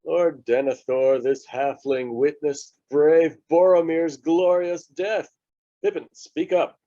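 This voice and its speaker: A grave old fantasy wizard, acted by a man, captured a little dully. He declaims in a middle pitch and takes his time. The manner is stately and commanding. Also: fairly even intonation, slow, warm tone, highs cut off. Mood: stately, firm. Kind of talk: table read of a fantasy film script.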